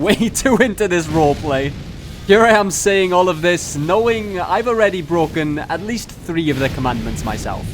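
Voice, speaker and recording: A man hosts a gameplay video with music playing behind him. He slips between mock-epic roleplay and casual, profane joking, in a voice slightly on the higher side. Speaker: gaming video host.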